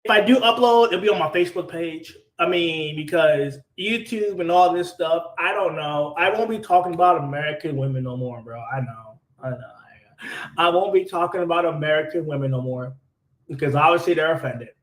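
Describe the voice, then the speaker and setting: A man's voice: on the high side, brisk, fairly bright tone, echoey room, rough recording. A man talking casually and defensively to camera in a played clip, saying "bro" often.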